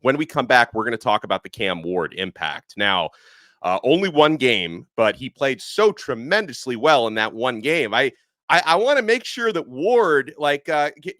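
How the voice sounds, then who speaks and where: A man hosting a casual sports podcast, animated and excitable, his voice a little hoarse from yelling. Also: fairly high pitch, no room sound. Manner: animated, excitable, with frequent fillers. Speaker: podcast host.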